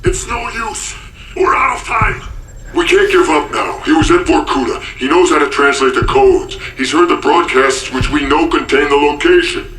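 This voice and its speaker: A sharp, fairly high-voiced interrogator in a military game thriller. He is insistent and accusing, with clipped urgency, in an echoey room over faint music. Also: animated, moderate pace. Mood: urgent. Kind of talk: dramatic video game acting.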